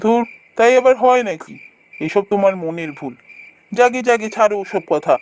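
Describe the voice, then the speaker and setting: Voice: mid-range voice, animated, normal speed, natural voice, rough recording. An actor plays a village husband and father in a fairy-tale cartoon. He is skeptical and grumbling. His delivery is dismissive and questioning.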